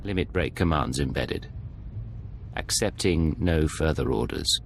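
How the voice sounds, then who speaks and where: An actor playing a guarded secret agent in a video game, speaking in a terse, controlled way. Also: male voice, extremely low pitch, a little slow, gravelly, slight background noise, heavily acted. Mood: entranced.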